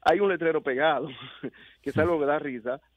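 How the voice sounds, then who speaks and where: A man's voice: fairly high pitch, moderate pace, no room sound. A man with a Dominican accent calling a radio show over a phone line, casually telling a story. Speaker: radio caller. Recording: thin, narrow phone line.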